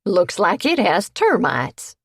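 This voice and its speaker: Grumpy pouty old woman, done by a woman narrator, slow and loud, dry mic. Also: mid-range voice, wildly swooping pitch, vocal fry, husky, no room sound, full character voice. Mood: cranky. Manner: grumbling, petulant.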